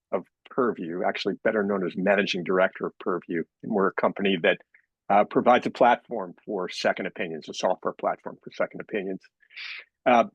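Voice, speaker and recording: Brief: husky man, company director explaining rules on a webinar, talkative, stumbles on the first words of phrases, dry close sound. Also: fairly low pitch, dry recording, low-quality recording. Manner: talkative, repeats words when starting phrases. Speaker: company director and guest expert.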